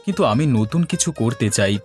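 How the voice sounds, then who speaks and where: A man's voice: medium pitch, animated, moderate pace, a little mellow, natural voice. A man playing a confident village schemer in a comic cartoon, talking persuasively and reassuringly over background music. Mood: eager, persuasive. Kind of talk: comic Bengali cartoon dialogue. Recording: music behind the voice.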